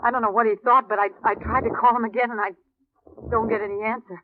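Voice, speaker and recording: A woman's voice: on the high side, fairly even intonation, fast talker, close to an everyday voice. An actress playing an anxious, defensive, bitter young woman in trouble in a 1950s radio drama, with an intense, melodramatic delivery. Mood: worried. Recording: tinny, noisy old radio recording with clicks.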